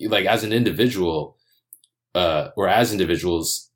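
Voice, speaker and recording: A man's voice: low voice, moderate pace. A man in a casual group discussion, earnest and a little hesitant, with fillers.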